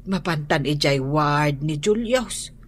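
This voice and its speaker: Slow, worried elderly Ilocano grandmother. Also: low-pitched, animated. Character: anxious, prayerful elderly mother and grandmother. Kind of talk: Ilocano radio drama acting. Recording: noisy radio drama recording.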